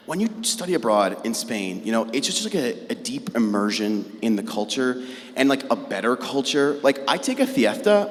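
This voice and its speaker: A man's voice: medium pitch, big pitch swings, normal speed, big-room sound. A man emceeing a student show, chatty and playful, full of filler words as he banters on stage. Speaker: event emcee. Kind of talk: scripted comic stage banter. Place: large hall with bleachers.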